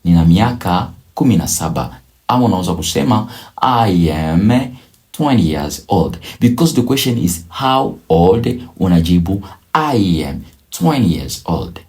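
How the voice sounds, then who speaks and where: A man teaching a beginner language lesson, drilling each phrase slowly and repeating it several times. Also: low-pitched, very animated, a little mellow. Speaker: English teacher.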